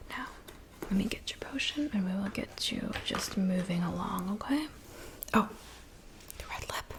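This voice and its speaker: Whispering woman in an ASMR roleplay. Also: mid-range voice. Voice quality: soft breathy whisper. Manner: gentle, reassuring, attentive personal-attention talk. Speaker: ASMR performer. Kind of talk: whispered ASMR roleplay as a witch doing hair, makeup and potions.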